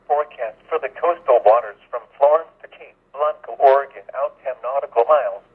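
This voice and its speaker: Muffled, husky male weather radio announcer. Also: medium pitch, brisk. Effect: radio speaker. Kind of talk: formal marine forecast bulletin. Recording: muffled lo-fi handheld radio speaker.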